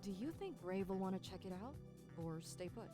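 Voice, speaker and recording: A woman's voice: medium pitch, lively intonation, normal speed, natural voice. An actress voicing a protective, teasing young woman, a loyal best friend in a visual novel game, speaking in a wry, casual way. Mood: curious. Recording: background music under the voice.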